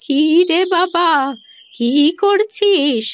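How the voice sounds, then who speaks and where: A woman playing a weary, lamenting elderly mother-in-law in a village cartoon, speaking in a slow, plaintive drawl. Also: very high voice, animated, muffled recording, slight background noise, heavily acted. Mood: coaxing. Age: older. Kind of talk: cartoon voice acting.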